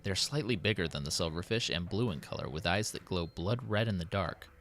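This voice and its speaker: Man acting a deep-voiced, solemn city president. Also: expressive, brisk, fairly bright tone. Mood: troubled, resolute. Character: worried, dutiful city president dictating his diary. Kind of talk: dramatic in-character diary reading.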